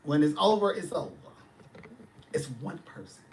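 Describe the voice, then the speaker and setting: A man's voice: on the high side, sing-song, moderate pace, background noise. A man, chatting casually on a livestream and answering viewers' comments with sass. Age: 40s. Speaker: livestream host.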